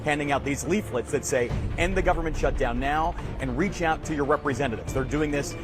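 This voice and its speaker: Male field reporter, slightly high register, news delivery, music underneath. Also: brisk. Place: on location at an airport.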